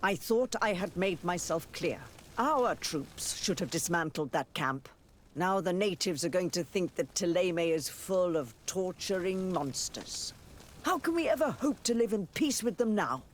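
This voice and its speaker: A man playing an authoritative high churchman in a video game, imperious and scolding in manner. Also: expressive, measured pace, a little hiss or hum. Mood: indignant. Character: indignant, authoritative high churchman governing an island.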